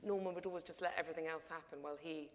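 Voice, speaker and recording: A middle-aged woman tells a story on a panel, chatty and full of restarts. Her voice sits a little low. A long hall reverb trails each phrase. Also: steady tone, very fast. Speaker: panel guest, documentary producer. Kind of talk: panel discussion anecdote. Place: lecture hall before an audience.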